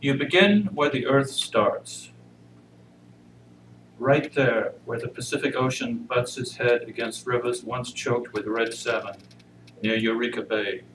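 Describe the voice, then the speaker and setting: A man introducing and reading poetry at a church service, measured and solemn. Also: medium pitch, a bit bright. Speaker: congregation member reading inmates' poetry. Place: church service, indoor room. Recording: roomy, noisy pickup far from mic.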